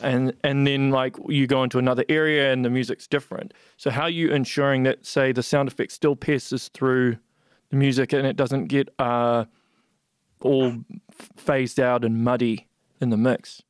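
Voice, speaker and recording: A man hosting a podcast, asking long, curious, probing questions in a relaxed conversational way. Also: medium pitch, normal speed, clear, resonant tone, dry recording, clean studio sound. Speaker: podcast host. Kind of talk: interview conversation.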